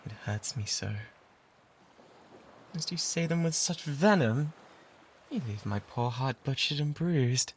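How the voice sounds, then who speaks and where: An obsessive pirate in love, played by a man, speaks with a British accent at a medium pitch. He is breathy and theatrical, pleading with tender devotion and slipping into whispers. It is an intimate ASMR roleplay on a close binaural microphone, with faint ambient noise behind him. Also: lively intonation, slow, long pauses. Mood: wounded.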